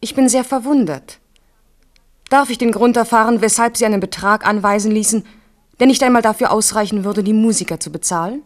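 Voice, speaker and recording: An actress playing a devoted, proud young noblewoman, a king's mistress, in a radio drama with formal, deliberate diction. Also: on the high side, close to an everyday voice. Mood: cool indignation. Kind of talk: 1970s German radio drama acting.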